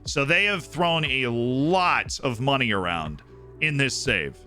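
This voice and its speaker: An excitable male streamer talks his viewers through a football management save, reacting to results and answering chat as he goes. His voice sits at an ordinary pitch but sounds very bright and keen-edged. Soft music plays quietly behind him. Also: slow. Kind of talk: casual live-stream commentary to chat.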